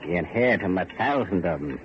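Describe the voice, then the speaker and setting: An actor playing a grim, bitter factory overseer on an island in a vintage radio horror drama, speaking in a dour, ominous, rustic way. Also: male voice, low voice, animated, fast talker. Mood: scornful, taunting. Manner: dour, ominous, archaic rustic speech with "aye" and "ain't". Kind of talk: 1930s-40s radio horror drama acting. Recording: muffled, noisy old radio recording.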